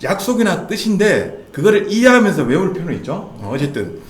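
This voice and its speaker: Lively fast-talking man explaining math study. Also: high-pitched, echoey room. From Seoul. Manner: patient, explanatory, slightly nervous. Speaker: guest math education expert. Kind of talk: explaining study methods in a chat.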